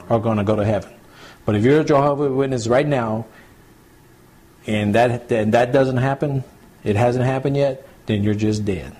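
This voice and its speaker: A man, a pastor teaching scripture to a small home church group in a relaxed, repetitive, conversational way. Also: medium pitch, husky. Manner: conversational, repeats points, addresses group as y'all. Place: small home gathering, recorded to CD.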